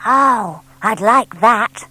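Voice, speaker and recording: A woman's voice: fairly high pitch, expressive, extremely slow, sharp tone, dry recording, voice pushed up, heavily acted, rough recording. A woman voicing a small young male barn owl, proud, eager and blunt, in a children's audiobook. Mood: curious. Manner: childlike, hesitant when flustered, blunt.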